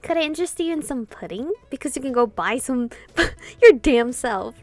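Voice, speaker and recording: Woman, high voice, expressive game streamer, casual sweary asides, reading text aloud, music behind. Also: normal speed, dry recording. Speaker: visual novel streamer. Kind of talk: gameplay commentary and reading dialogue aloud.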